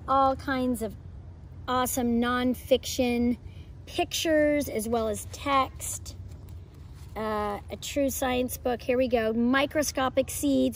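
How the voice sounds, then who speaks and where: An enthusiastic, expressive woman presenting a children's lesson and picture-book read-aloud as a homeschool educator. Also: high voice. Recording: clear background noise.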